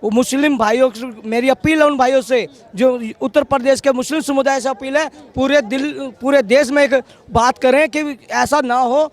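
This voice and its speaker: A man giving his opinion in a street interview, speaking formally and deferentially in a halting way. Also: very high-pitched. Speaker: member of the public being interviewed.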